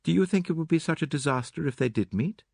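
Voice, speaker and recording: Man voicing a cool, high-pitched lady. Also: lively intonation, fast, gravelly, darkish tone, dry recording, clean studio sound. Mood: quietly amused. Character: cool, enigmatic upper-class English woman, quietly teasing. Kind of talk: dramatised audiobook reading.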